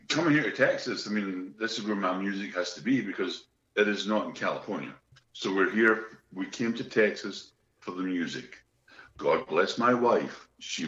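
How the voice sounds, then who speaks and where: Middle-aged Scottish man, joking storyteller. Forceful tone, lo-fi recording. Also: sits low. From Scotland. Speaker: guest musician. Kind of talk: casual interview chat. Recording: echoey room, dull lo-fi sound.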